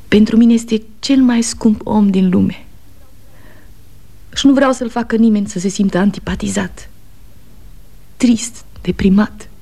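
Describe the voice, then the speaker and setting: A woman's voice: mid-range voice, warm tone, slightly rough. An actress playing a weary, fiercely loyal aging wife and mother, pleading and reproachful, in 1960s radio theatre style. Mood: stern pleading.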